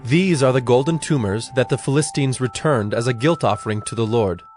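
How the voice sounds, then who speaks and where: A man narrating scripture from a script in a measured, solemn manner. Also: medium pitch, over music.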